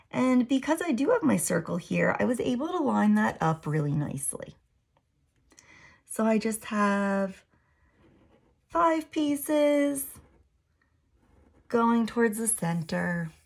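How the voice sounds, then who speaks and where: Raspy woman teaching a drawing demo. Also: on the high side. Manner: relaxed, thinking aloud while drawing. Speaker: art teacher.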